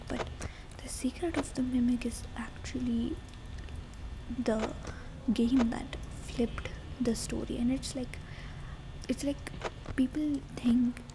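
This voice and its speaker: A woman with an Indian accent doing a soft, whisper-edged ASMR chat, explaining things in a rambling, informal way and mixing Hindi with English. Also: on the high side, gravelly, background noise. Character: affectionate girlfriend chatting while playing a game. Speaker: ASMR creator.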